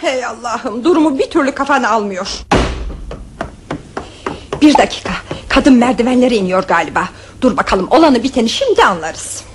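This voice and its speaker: An actress playing an excitable, nosy wife and neighbour in a radio play, fussy and exclaiming. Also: female voice, on the high side, normal speed, close to an everyday voice. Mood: agitated, gossipy. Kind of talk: Turkish radio theatre acting. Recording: noisy old radio drama recording.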